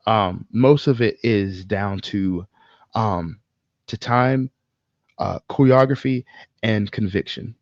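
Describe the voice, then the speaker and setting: A man co-hosting a casual podcast, speaking in an opinionated, emphatic way with swearing and halting pauses. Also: on the low side, no echo, muffled recording. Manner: profane, emphatic, halting with pauses mid-thought. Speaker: podcast co-host. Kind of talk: casual film discussion, opinionated ranting.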